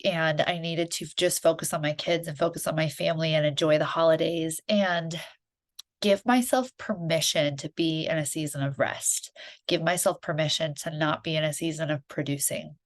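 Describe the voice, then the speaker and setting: Woman, podcast host, stuffy from a cold, slightly low voice, earnest, encouraging, conversational. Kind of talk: solo podcast monologue.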